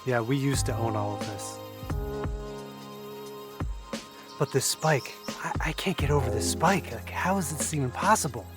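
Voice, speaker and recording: A man acting a troubled, warm-hearted brother in an audio drama, halting and repeating words, prone to swearing. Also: medium pitch, animated, music in the background, natural voice. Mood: shocked, disbelieving. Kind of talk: naturalistic audio drama dialogue.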